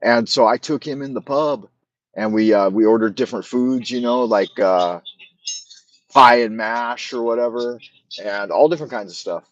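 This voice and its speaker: A man's voice: mid-range voice, normal speed, no room sound, highs cut off. A middle-aged man chatting on a casual live stream, rambling with frequent restarts and repeated words, and speaking bluntly. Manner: blunt, swears freely. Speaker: livestream host.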